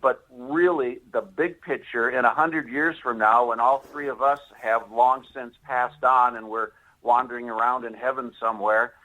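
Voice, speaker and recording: A man with an American accent and a mid-pitched voice speaks as a guest in a live interview. His phrasing is formal and oratorical, delivered earnestly and with deliberation. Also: steady tone, moderate pace, hardly any pauses, bright, forceful tone, poor audio. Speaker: author and interview guest promoting a monument project.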